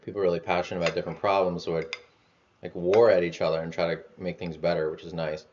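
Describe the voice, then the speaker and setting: A man's voice: deep voice, little pitch movement, fast, a little mellow, a little hiss or hum. A man talking through his thoughts in a loose, rambling way, full of fillers, restarts and the odd casual swear. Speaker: vlogger. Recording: dull lo-fi sound.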